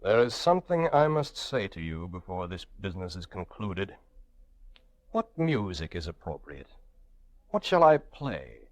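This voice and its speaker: A man's voice: sits low, big pitch swings, no room sound. A male actor playing a proud, world-weary imprisoned intellectual, declaiming poetically in old time radio drama style. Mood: calm, quietly menacing. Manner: slow, deliberate, poetic declamation.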